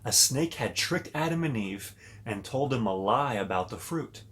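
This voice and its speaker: A man with a mid-range voice reads a children's story aloud. He is expressive and speaks straight to young listeners, stepping out of the text to point things out and ask them questions. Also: medium pitch, normal speed. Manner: expressive, talks directly to children. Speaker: pastor and story reader.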